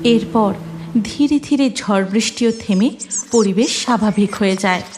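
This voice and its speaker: A woman's voice: medium pitch, normal speed, noisy background. A woman narrator reading a scripted fairy tale for a cartoon.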